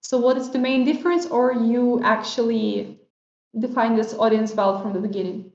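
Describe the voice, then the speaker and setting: A woman's voice: on the high side, steady tone, normal speed, darkish tone. A woman hosting a casual interview over a video call, friendly and searching for words, with slightly non-native phrasing. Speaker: interview host. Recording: echoey, muffled video-call audio.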